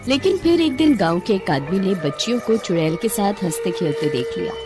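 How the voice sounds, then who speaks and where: A woman's voice: medium pitch, very animated, brisk. A woman storyteller giving scripted narration of a children's bedtime story. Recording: music behind the voice.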